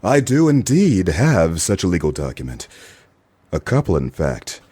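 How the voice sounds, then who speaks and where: A man's voice: low voice, huge pitch swings, measured pace, mellow, breathy edge, exaggerated voice. An actor playing a wry, wisecracking hard-boiled male detective in a noir radio play, both narrating and in dialogue. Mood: teasing, friendly.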